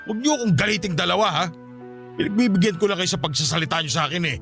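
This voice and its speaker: A man's voice: high-pitched, very fast, vocal fry, fairly bright tone. An actor with a Filipino accent playing a domineering philanderer who bankrolls his mistresses, bossy and threatening, in a radio drama. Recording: music behind the voice.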